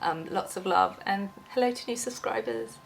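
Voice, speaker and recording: A woman's voice: medium pitch, moderate pace, creaky, slight background noise, low-quality recording. A young woman with a cold, chatting in an unscripted, rambling vlog, full of ums and restarts. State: has a cold, losing her voice. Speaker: small YouTuber.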